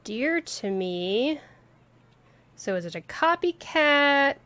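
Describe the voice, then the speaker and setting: A woman's voice: high-pitched, big pitch swings, takes it slowly, pushed and bright, dry recording. A woman livestreamer giving casual, off-the-cuff commentary while playing a video game.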